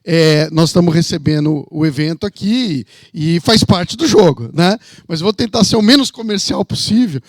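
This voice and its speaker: A man's voice: a little high, normal speed, hardly any pauses, no echo, clean studio sound. A man in his fifties with a São Paulo Brazilian accent, an academic giving an informal welcome presentation in a digressive, explanatory way. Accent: São Paulo state Brazilian. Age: 50s. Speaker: economist and university representative.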